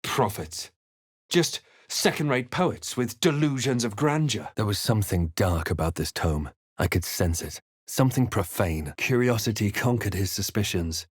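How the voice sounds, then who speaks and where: An actor playing a brooding male adventurer in a fantasy game, narrating his quest in a measured, theatrical, grave manner. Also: lowish voice, expressive, husky, no room sound, clean studio sound. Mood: ominous, reflective. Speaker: player character in fantasy role-playing game. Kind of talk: dramatic scripted game voice lines.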